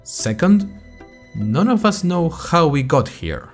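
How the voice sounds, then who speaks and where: A man's voice: on the high side, big pitch swings, takes it slowly, faint music behind, heavily acted. Man playing an anxious, wry freshman podcaster. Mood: wry, musing. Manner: rambling, wry asides, self-conscious. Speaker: narrator and host of a fiction podcast. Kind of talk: scripted first-person audio drama monologue.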